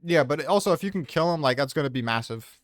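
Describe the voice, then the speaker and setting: A man's voice: on the high side, expressive, fast talker, no room sound, clean studio sound. A man hosting a casual fan debate, chatting informally as he explains his rankings.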